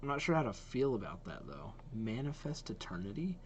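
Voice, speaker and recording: A man's voice: on the low side, sing-song, fast, slight background noise. A man giving casual reaction commentary as a host, thinking aloud as he goes.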